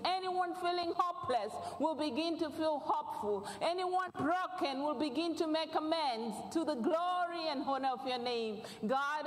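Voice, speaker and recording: A middle-aged woman from Uganda with a Ugandan accent, preaching and praying fervently from a large church stage. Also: high-pitched. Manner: fervent, repetitive praying cadence. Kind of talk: prayer and sermon. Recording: echoey church hall.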